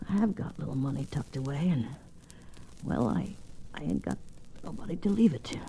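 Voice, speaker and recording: A tough old saloon woman of the Old West, low-pitched and folksy. She talks in plain, ungrammatical frontier slang with a worldly weariness. It is an old radio western with faint background noise. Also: expressive, moderate pace, gravelly, warm tone, low-quality recording. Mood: weak, weary. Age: older. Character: tough, weary old female saloon keeper and gambler.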